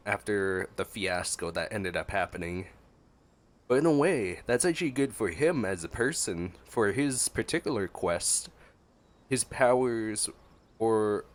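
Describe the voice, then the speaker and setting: Low-voiced joking male game streamer. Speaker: gameplay streamer. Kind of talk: casual reactionary game commentary. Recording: game music behind the voice.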